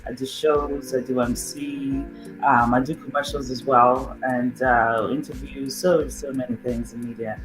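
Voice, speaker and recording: A man's voice: medium pitch, little pitch movement, a little slow, over music, rough recording. A middle-aged man from Nigeria introducing himself as a guest, rambling with many fillers and restarts. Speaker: guest on an online show. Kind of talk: casual self-introduction.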